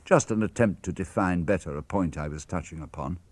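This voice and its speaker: A man reading a father's letters aloud for a radio broadcast, in a measured, expressive manner with crisp phrasing. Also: low-pitched, no echo. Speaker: reader of letters.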